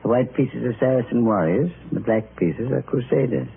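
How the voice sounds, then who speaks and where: An actor playing a courteous, sly pawnshop keeper in an old radio drama, speaking formally and with insinuation. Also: male voice, mid-range voice, animated, moderate pace, vocal fry. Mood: courteous, intriguing. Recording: noisy, narrow-band old radio recording.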